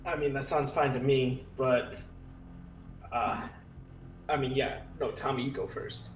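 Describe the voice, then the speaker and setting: A man's voice: medium pitch, fairly even intonation, a little slow. A man talking casually and a little hesitantly as a player in a tabletop roleplaying game. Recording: roomy, echoey sound.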